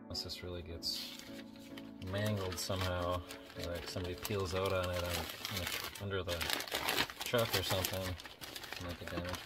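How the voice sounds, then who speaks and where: Very low-voiced man, casual vlog narration. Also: level tone, moderate pace. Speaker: online reseller hosting a shipping vlog. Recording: music behind the voice. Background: background music.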